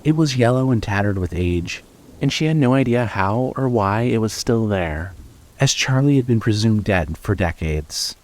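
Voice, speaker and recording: A man's voice: on the low side, lively intonation, normal speed, darkish tone. A man narrating a scripted horror story as the storyteller of an audio drama.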